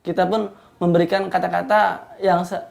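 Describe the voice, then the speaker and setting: Radio guy, kinda high voice with lots of fry, pretty flat. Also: normal speed. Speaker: community radio announcer. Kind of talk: radio presenting and explanatory talk.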